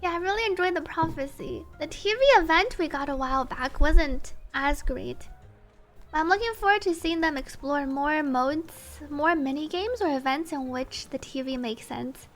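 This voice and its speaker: A woman streaming a game with an extremely high voice. She chats casually and reacts live, with faint music playing behind her. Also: moderate pace, a bit bright.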